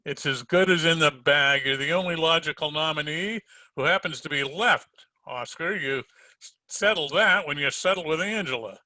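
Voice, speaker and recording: Man playing a bright, wisecracking private eye. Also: on the high side, moderate pace, dry recording. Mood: cool, accusing. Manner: hard-boiled, sardonic, slangy. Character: tough wisecracking male private detective. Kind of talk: 1940s radio detective drama acting and narration.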